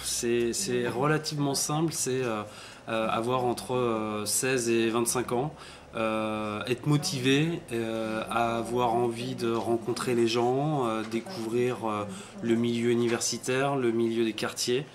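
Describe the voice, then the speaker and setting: A man explaining a programme in an interview, halting often as he searches for words. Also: medium pitch, fairly even intonation. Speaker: volunteer programme coordinator. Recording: crowd chatter in background.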